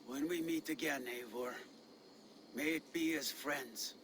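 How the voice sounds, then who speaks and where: Man playing a quiet, grave warrior. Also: fairly high pitch, measured pace, background noise, lightly acted. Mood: grave, restrained. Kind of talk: scripted video game drama acting.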